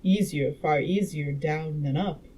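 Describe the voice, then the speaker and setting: A woman's voice: deep voice, unhurried, dark tone, distant mic. A woman reading aloud as a skeptical Victorian doctor with a questioning, contrary manner. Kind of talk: audiobook-style character reading. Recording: noisy room background.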